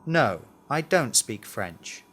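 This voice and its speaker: Male language-course reader, slow and careful delivery, lively intonation. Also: medium pitch. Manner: deliberate, carefully enunciated model sentences. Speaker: language lesson presenter reading words and answers. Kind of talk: scripted language drill, read aloud.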